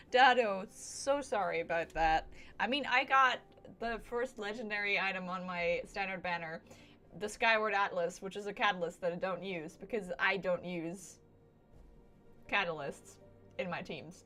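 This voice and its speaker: A woman streamer chats casually over clearly audible game music. Her voice is medium in pitch. She is playful and exclamatory, reacting live as she goes. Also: fairly bright tone.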